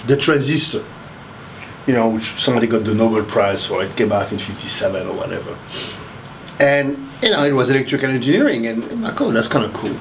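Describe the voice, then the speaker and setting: This older man from Haiti talks in a loose, rambling way, circling back and restarting his sentences. His pitch sits at a middling level. Faint noise hums behind him. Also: sing-song, fairly quick, rough recording. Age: 60s. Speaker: interviewee, math professor. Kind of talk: casual interview.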